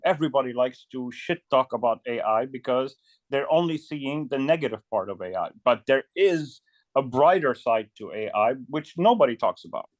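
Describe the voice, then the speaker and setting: A man chatting casually on a video call, halting and often repeating his words. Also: medium pitch, animated, dry recording. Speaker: video creator and guest in a community video chat. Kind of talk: casual chat. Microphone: close microphone on a video call.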